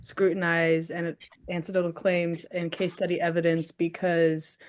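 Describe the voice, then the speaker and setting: A woman's voice: lowish voice, little pitch movement, moderate pace. A young woman, a college student, chatting casually in a class study group and filling her talk with "like" and "literally". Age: young adult. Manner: chatty, says "like" and "literally" a lot.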